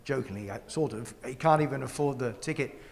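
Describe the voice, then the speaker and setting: Man, concert host, gravelly, easygoing, onstage interview, reverberant hall. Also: mid-range voice, a little fast. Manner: casual, easygoing questioner.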